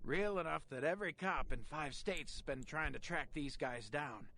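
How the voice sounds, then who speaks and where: Sleazy streetwise shop hustler, noisy background. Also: male voice, a little high, moderate pace, creaky, pushed and bright. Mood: wary, warning. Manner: slangy, streetwise, brash. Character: sleazy, cagey male porn shop proprietor. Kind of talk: video game dialogue.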